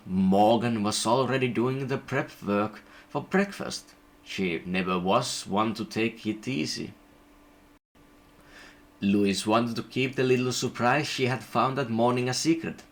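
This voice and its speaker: A man reading an illustrated storybook aloud in an even, gentle narration. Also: fairly low pitch.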